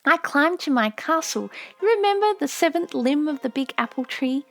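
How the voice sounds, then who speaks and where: Lady doing a little girl in a storybook, really high voice, earnest and homesick, trying to be brave. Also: expressive, normal speed. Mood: wistful longing. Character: wistful young American-raised Japanese girl, bravely homesick. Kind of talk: audiobook character voice.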